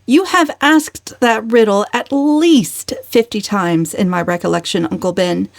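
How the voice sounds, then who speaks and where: A woman voicing a defiant, witty woman of twenty-nine with a calm, sardonic delivery in a storybook reading. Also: medium pitch, creaky, no echo, clean studio sound. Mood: cool mockery. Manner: calm, dryly sardonic, deliberate. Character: defiant, witty, unflappable 29-year-old unmarried woman.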